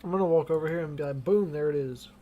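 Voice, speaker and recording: A woman's voice: deep voice, fast, lo-fi sound, slight background noise. A woman streamer giving casual, sarcastic commentary while playing a game. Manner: sarcastic, swearing. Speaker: gaming streamer. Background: faint game music.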